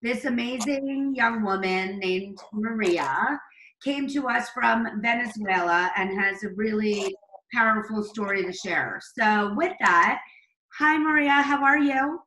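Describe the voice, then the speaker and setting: A woman's voice: slow, bright, forceful tone, slight background noise. A woman hosting a talk show, interviewing a guest with effusive exclamations. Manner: effusive, exclaims with big pitch leaps. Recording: echoey room.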